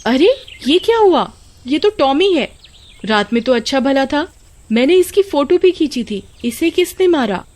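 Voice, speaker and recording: Young village girl acted by a woman for a cartoon story: curious, easily alarmed, exclaiming, very animated. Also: sits high, unhurried, pitched above the performer's own voice. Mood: puzzled, alarmed.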